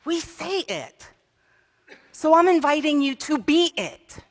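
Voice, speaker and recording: A woman's voice: high-pitched, sing-song, slow pace. A woman minister preaching a sermon in a church, telling a story with rhetorical questions and building to emphatic peaks. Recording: long hall reverb.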